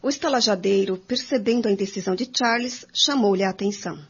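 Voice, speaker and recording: A woman narrates a radio drama with a husky, mid-pitched voice. The recording sounds dull and lo-fi. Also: fast. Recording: dull lo-fi audio.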